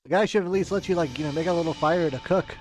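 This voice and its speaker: A man's voice: a little high, fast talker, a little mellow. A man giving casual watchalong commentary as a streamer reacting to game cutscenes. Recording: faint music behind.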